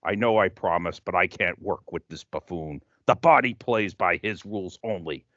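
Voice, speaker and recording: A man's voice: low-pitched, moderate pace, fairly bright tone, no echo, lo-fi sound. A man playing a paranoid, grandiose conspiracy theorist who lectures pompously and ominously in a comedic audio play. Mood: ominous, indignant.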